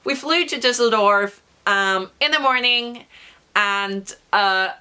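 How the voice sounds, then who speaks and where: A young woman vlogger with a hoarse voice from a recent cough, chatting through an excited story with many fillers. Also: medium pitch, slow. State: hoarse, recovering from lost voice. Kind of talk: casual storytelling vlog.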